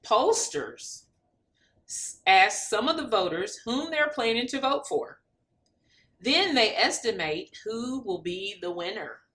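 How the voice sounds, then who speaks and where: A woman reads a storybook to children in a bright, fairly high voice. Her intonation swings widely and she takes her time, delivering each line expressively and deliberately. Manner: expressive, deliberate, addressing young listeners with questions. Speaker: storybook reader. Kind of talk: animated children's read-aloud.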